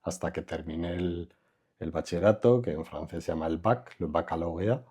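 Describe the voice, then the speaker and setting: Low, husky-voiced older man. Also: dark tone. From Madrid, Spain. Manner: reflective, unhurried, trails off with fillers. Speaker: architect, interview guest. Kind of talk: relaxed conversational interview.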